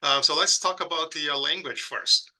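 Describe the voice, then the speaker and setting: A man's voice: on the high side, normal speed, sharp tone, rough recording. A man in his forties from Brazil with a Brazilian Portuguese accent, speaking reflectively as an interview guest with frequent fillers and restarts. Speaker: guest, pediatric critical care physician. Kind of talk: casual interview conversation.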